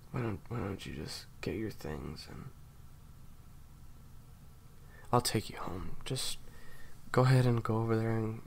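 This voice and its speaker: A low male voice over clear background noise , a man acting it out. He is an intense, protective assassin weighed down by guilt in an audio roleplay. He speaks haltingly, repeats himself and often sinks into urgent whispers. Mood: guilty, grieving. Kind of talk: audio roleplay drama addressed to the listener.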